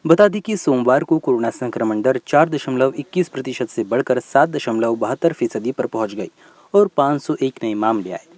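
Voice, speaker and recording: A man's voice: medium pitch, animated, normal speed, darkish tone. A man reading a scripted news bulletin as its presenter.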